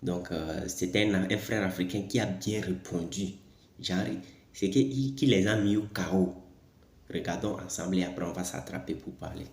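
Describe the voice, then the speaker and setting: A man's voice: low-pitched, steady tone, moderate pace, gravelly, a little mellow, roomy sound. A man hosting his video, talking casually to his viewers in a rambling, self-correcting way. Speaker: video host commenting on clips.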